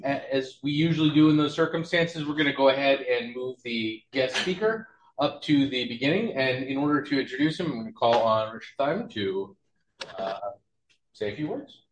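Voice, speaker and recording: A man's voice: mid-range voice, lively intonation, normal speed, roomy sound, poor audio. A man chairing an amateur astronomy club meeting, friendly and chatty with mild hesitations.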